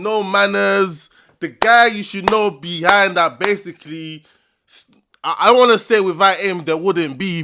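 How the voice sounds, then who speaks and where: A man's voice: sits high, pushed and bright, a little hiss or hum. A young man hosting his first interview, talking in hype slang and often asking "you understand". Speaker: interviewer and channel host.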